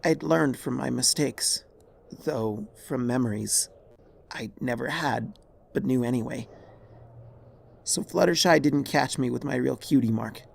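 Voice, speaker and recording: A man voicing a dying, regretful pony mare, a remorseful former tyrant, in a weary, halting, husky voice for a dramatic story. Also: mid-range voice, slight background noise, pitched above the performer's own voice. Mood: weary, grave. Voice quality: raspy, husky, creaky.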